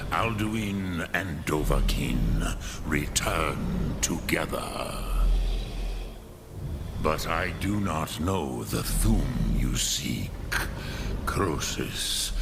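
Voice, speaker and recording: A man voicing an ancient, wise male dragon in a fantasy video game, speaking patiently and deliberately with a weighty, gravelly voice and philosophical manner. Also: very deep voice, very noisy, exaggerated voice. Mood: grave, musing. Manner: slow, deliberate, ponderous, pausing between phrases.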